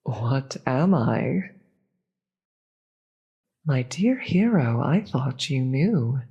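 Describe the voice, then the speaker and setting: A performer voicing a proud, imperious demon queen in a fantasy audio roleplay, deliberate and theatrical, with a breathy voice and contempt for the gods. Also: medium pitch, sing-song, warm tone, muffled recording, heavily acted. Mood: imperious, menacing. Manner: deliberate, theatrical, grandiose speech with dramatic pauses.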